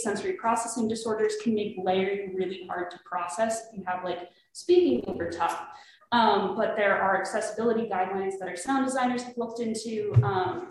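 A woman casually explaining podcast design at a panel, with lots of "like" and "um". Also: medium pitch. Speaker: podcast producer. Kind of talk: panel discussion. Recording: very echoey room with faint noise.

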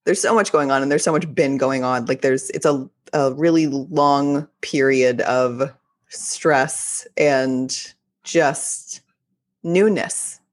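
A woman's voice: extremely low pitch, slow pace. A young woman from Texas with a mild Texan accent, hosting a podcast in a chatty, effusive way. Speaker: podcast host. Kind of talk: casual solo podcast talk.

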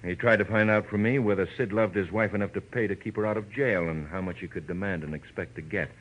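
An actor playing a cold, calculating talent agent turned murderer in a 1940s radio crime drama, with controlled, emphatic delivery. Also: male voice, lowish voice, fast, highs cut off, natural voice. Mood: cold menace. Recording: noisy old radio recording.

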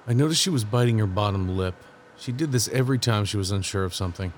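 Actor as male federal agent, lowish voice, terse, composed, audio drama narration, faint background noise. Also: fast talker, natural voice. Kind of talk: first-person audio drama narration and dialogue.

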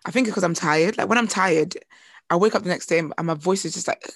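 A woman's voice: lowish voice, creaky. Tired, laughing young woman. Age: young adult. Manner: laughs often, tired and drawn-out complaints. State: voice sounds tired and a bit strained. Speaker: podcast co-host. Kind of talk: casual chat.

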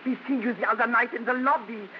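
An actress playing an excitable, pleading foreign woman who speaks halting broken English in a 1950s radio drama. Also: a little high, natural voice. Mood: eager pleading. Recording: dull, faintly noisy old radio recording.

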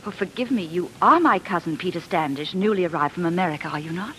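An actress playing a gracious young eighteenth-century gentlewoman, speaking formally in 1940s radio drama style. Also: fairly low pitch, animated, quick pace, faint music behind, poor audio. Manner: courtly, formal, polite. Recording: vintage radio broadcast with clear noise.

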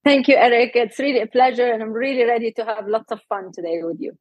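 A middle-aged woman from Lebanon, a health coach and guest, talking in a relaxed interview and laughing often. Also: on the high side, fast, no room sound, muffled recording. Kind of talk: casual interview talk.

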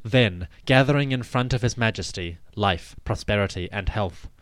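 A man giving a scripted, dramatic narration of ancient history in a measured, solemn storytelling manner. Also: lowish voice, a bit bright, no echo. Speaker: podcast host and narrator.

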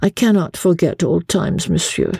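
A woman's voice: fairly low pitch, expressive, moderate pace, creaky, darkish tone, breathy edge, no echo, studio quality, pitched above the performer's own voice, full character voice. A woman voicing a wistful, sly little lady gambler from a storybook, coaxing and theatrical, with a foreign accent. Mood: sad, reproachful.

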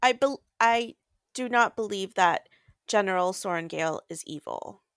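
A woman's voice: mid-range voice, expressive, a little slow, a bit bright, no room sound, studio quality. A woman co-hosting a book podcast, chatting casually and stating her opinions emphatically. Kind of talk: casual chat.

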